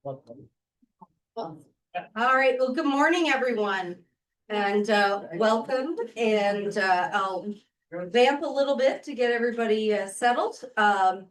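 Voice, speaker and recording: A woman's voice: on the high side, lively intonation, slow pace, long pauses, echoey room, distant mic, rough recording. A woman introducing a panel at a breakfast meeting, talking casually with frequent ums and uhs. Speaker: event moderator. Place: meeting room.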